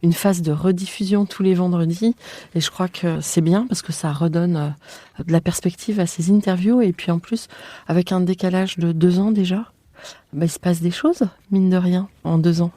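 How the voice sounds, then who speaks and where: A woman's voice: fairly low pitch, fairly even intonation, moderate pace, warm tone, no echo. A woman hosting a podcast, interviewing guests in a conversational style.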